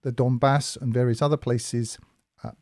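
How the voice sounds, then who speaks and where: Hesitant, measured man with a mellow voice. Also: mid-range voice, steady tone, dry recording, clean studio sound. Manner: measured, hesitant, many fillers and restarts. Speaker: commentary channel host. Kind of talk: spoken commentary monologue.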